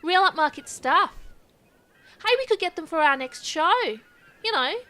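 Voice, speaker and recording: A woman playing a brash, dim, indignant Australian show presenter in an audio comedy, loud and matey. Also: extremely high pitch, expressive, normal speed, heavily acted. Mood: blithe, matey.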